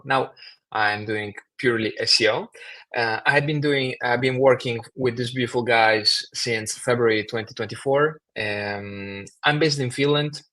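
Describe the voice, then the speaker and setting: A man from Ukraine co-hosting a live online webinar. He admits he is nervous and talks haltingly, with restarts, over a computer mic. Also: medium pitch, fairly bright tone, low-quality recording. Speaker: webinar co-host. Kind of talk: live webinar, casual opening chat.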